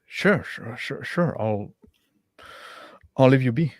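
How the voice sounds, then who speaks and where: A man's voice: mid-range voice, expressive, slow pace, dry recording, clean studio sound, voice pushed up. A man voicing an anxious, deferential villager, devout and stammering, eager to please.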